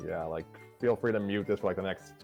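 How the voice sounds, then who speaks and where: A man hosting a casual story reading, breaking off into halting asides. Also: deep voice, muffled recording, over music. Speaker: host and reader of the story.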